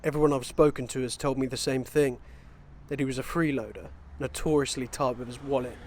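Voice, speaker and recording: An actor playing a sober, methodical British police detective in a naturalistic radio play. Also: male voice, mid-range voice, fairly even intonation, darkish tone, natural voice. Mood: pondering. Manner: measured, procedural.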